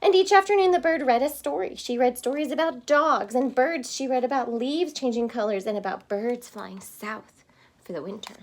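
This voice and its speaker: A woman reading a picture book aloud to children, expressive and stopping to explain words. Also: fairly high pitch, normal speed. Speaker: storybook reader.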